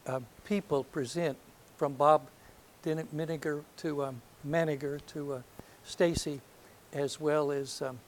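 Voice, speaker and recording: An older man from North Alabama with a soft voice, giving a reflective lecture to an audience, hesitant and full of ums, with gentle self-deprecating humor. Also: on the high side, steady tone, measured pace, hardly any pauses, a little hiss or hum. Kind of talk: last lecture, reminiscence. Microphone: handheld or podium microphone.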